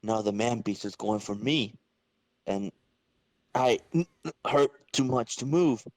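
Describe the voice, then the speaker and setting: An earnest young superhero from a comic book, read by a man in an everyday voice, talking himself through things. The sound is dry and the pitch is middling. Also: unhurried, a little mellow, no echo, lightly acted. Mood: strained, desperate. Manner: self-narrating, earnest. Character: heroic young male wisecracking superhero, earnest and strained. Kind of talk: amateur dramatic comic-book reading.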